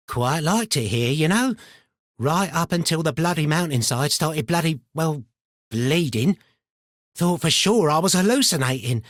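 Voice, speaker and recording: Game role: a paranoid, chatty mad miner, rambling and theatrical, very varied intonation, dry studio sound. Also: male voice, on the high side, dry recording. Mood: uneasy, ominous. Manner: rambling, muttering, colloquial, theatrically swinging between chummy and suspicious. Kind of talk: video game character voice lines.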